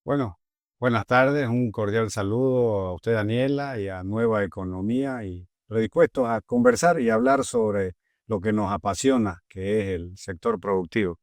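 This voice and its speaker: A middle-aged man with a Bolivian Spanish accent, a guest in an interview, who answers in a measured, earnest way with pauses and fillers. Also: fairly low pitch, dry recording. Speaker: agricultural leader, guest.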